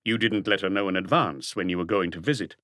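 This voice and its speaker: A man's voice: low voice, fast talker, fairly bright tone, no echo, clean studio sound. A man voicing a probing, persistent police detective who presses firmly with pointed questions in an audiobook mystery.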